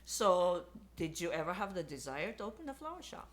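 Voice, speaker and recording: A woman's voice: lowish voice, big pitch swings, brisk. A woman hosting a casual interview, chatty and enthusiastic, often stumbling and restarting as she asks her questions. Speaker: host.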